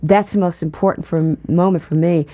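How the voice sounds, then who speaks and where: A woman guest in an interview, talking thoughtfully and in a measured way about her work. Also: on the low side, fairly even intonation, mellow. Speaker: guest, performer. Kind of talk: conversational interview.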